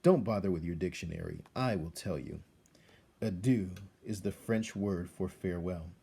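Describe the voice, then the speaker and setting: A man reading a children's story aloud as narrator, expressive and measured, with dramatic delivery. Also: deep voice, creaky, breathy edge. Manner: measured, dramatic, addresses the listener.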